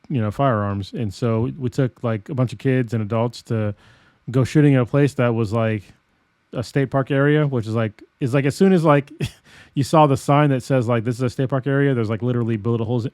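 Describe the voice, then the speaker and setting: A man's voice: mid-range voice, a little fast, a little mellow, no room sound, clean studio sound. An American man co-hosting a podcast, telling stories in a rambling way with lots of fillers and laughs. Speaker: podcast co-host. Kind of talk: casual chat.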